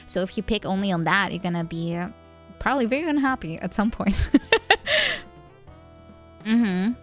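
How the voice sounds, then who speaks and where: A woman's voice: medium pitch, moderate pace. A young woman streaming, chatting casually with viewers. She laughs often, thinks aloud with fillers, and is tipsy from wine. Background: faint background music.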